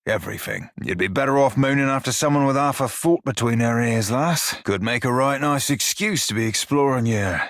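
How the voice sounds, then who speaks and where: An actor playing a roguish, bawdy, furry blue pirate in a video game, drawling salty sailor slang with a teasing, wry manner and a rough-edged voice. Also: male voice, medium pitch, fairly quick, hardly any pauses, a bit bright, no room sound, studio quality, lightly acted. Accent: stylised pirate dialect. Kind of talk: video game character dialogue.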